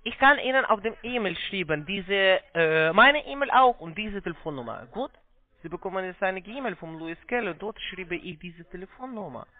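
A non-native speaker, a man with a foreign accent and halting, broken grammar, giving a phone sales pitch. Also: expressive. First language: not German. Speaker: call-centre investment salesman. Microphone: telephone. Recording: muffled band-limited phone line.

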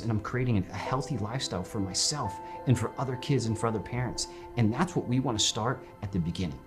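A man speaking passionately and conversationally as a company executive in a promotional interview. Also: mid-range voice. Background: music.

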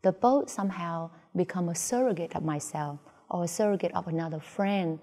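A woman with a Vietnamese accent giving reflective interview answers as an artist, thoughtful and halting. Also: lowish voice, warm tone. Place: large indoor museum gallery. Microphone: lapel. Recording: reverberant gallery room.

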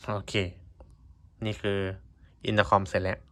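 A man's voice: fairly low pitch, little pitch movement, takes it slowly, bright, forceful tone. A man giving a casual hands-on tutorial, explaining informally step by step in short phrases. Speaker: vlogger giving a product tutorial.

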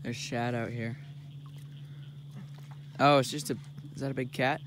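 A teenage boy chatting excitedly while fishing from a lake shore, recorded on a head-mounted camera. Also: medium pitch, unhurried. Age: teens. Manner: excitable, exclaiming over catches. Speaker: fishing vlogger. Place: outdoors on a rocky lake shore. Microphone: head-mounted action camera. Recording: noisy outdoor camera audio.

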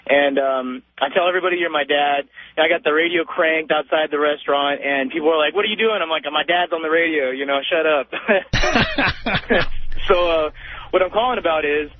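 A man's voice: on the high side, normal speed. A man in his twenties calling a radio show by telephone, chatting in a casual, familiar, rambling way. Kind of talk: casual, personal phone chat. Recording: thin tinny phone line.

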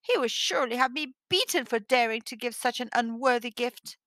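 A woman plays a poor village father in a folktale, humble and anxious. The voice is high and bright, with a respectful, pleading manner. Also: a little fast, no room sound. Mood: sad, worried. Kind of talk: storybook character voice.

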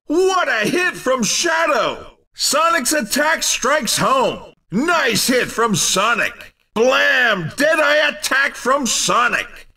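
A man playing a cheeky, excitable race commentator in a video game, calling the action in punchy, playful exclamations. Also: very high-pitched, far from the mic, full character voice. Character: hyped-up cheeky male racing game announcer. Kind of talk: excited arcade racing commentary.

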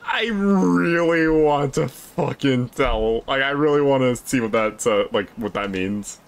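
Slow-paced casual man reacting to a game. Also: mid-range voice, gravelly, clear, resonant tone. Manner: informal, sweary. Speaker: gaming video host. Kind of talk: casual playthrough reaction chat with friends.

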